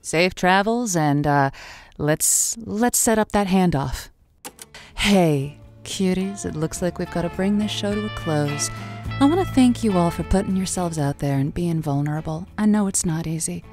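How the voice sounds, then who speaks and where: Lower-voiced actress as a playful late-night radio host. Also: female voice, expressive, normal speed, darkish tone, faint music behind, lightly acted. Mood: fond signing off. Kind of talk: improvised audio drama, late-night radio call-in show.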